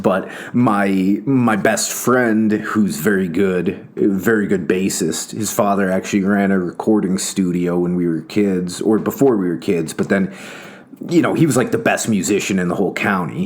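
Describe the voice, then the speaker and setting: Man, slightly low pitch, casual solo commentary, rambling, chuckling. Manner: rambling, self-interrupting, laughs often. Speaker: host.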